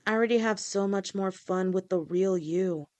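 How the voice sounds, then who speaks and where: A woman's voice: medium pitch, moderate pace, close to an everyday voice. A woman voicing a loving lamia fiancée. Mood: reassuring. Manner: gentle, reassuring, affectionate. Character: loving female lamia fiancée, protective and reassuring. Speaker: voice actor. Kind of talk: audio roleplay.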